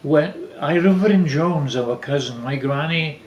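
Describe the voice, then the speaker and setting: An older man telling family stories casually, rambling and halting with repeated false starts. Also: on the high side. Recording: echoey room.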